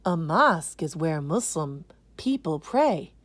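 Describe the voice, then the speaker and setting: A kindly storybook auntie played by a woman reader. She explains patiently and instructively to children. Her pace is slow and her pitch moves in lively swings. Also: dry recording, full character voice. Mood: explaining. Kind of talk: children's picture book read-aloud.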